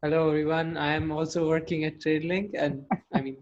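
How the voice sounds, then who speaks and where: A man's voice: fairly high pitch, level tone, fairly quick, a little mellow. A young man eagerly introducing himself on a video call, rambling with "like" and restarts. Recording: muffled lo-fi video call audio.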